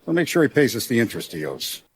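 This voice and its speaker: A man's voice: mid-range voice, very animated, slightly rough. Commanding, streetwise mob boss man. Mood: businesslike, confiding. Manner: deliberate, authoritative, streetwise gangster talk. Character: commanding, paternal, proud mob boss, principled yet ruthless. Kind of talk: dramatic video game voice acting.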